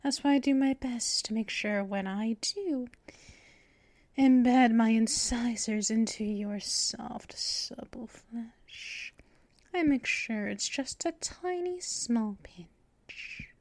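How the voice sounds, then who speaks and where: A woman voicing a possessive, teasing female vampire mistress in an ASMR audio roleplay, half-whispering in a breathy, intimate way. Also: on the high side, takes it slowly, a little mellow, no echo.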